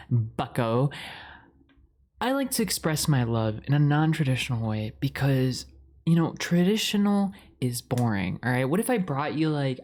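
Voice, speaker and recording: A man's voice: medium pitch, darkish tone. Rambling, playful man in boyfriend roleplay.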